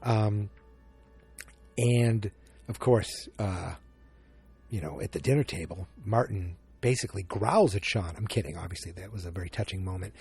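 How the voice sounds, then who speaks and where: A man's voice: fairly low pitch, moderate pace, gravelly, darkish tone, breathy edge, faint music behind. A man from Massachusetts with a Boston accent, hosting a solo podcast in a rambling style full of restarts and fillers. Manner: rambling and self-interrupting, with frequent fillers. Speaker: podcast host.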